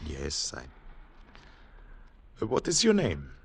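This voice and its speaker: An actor playing a haunted secret agent on the run, narrating in dramatic, deliberate, clipped phrases in 1940s radio thriller style. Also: male voice, rumbling low, huge pitch swings, gravelly, full character voice. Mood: guarded. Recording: noisy 1940s radio recording with clicks.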